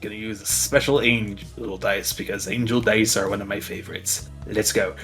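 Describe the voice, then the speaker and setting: A man's voice: lowish voice, steady tone, moderate pace, fairly bright tone, faint music behind. A man playing a tabletop roleplaying game, narrating his character's actions in a casual, improvised way.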